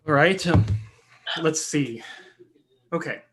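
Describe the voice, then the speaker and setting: An actor reading a pleading, submissive younger brother in a play, hesitant and plaintive. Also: male voice, on the high side, expressive, slow pace, poor audio. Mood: flustered, hesitant.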